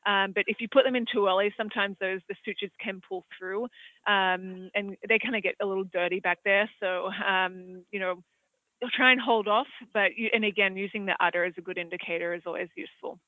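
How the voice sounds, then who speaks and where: Australian male equine vet, high-pitched, hesitant and rambly, dry phone-line audio. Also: fairly even intonation, a little fast, dry recording. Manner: rambling, hesitant, many fillers. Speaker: equine veterinarian. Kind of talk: expert answering audience questions.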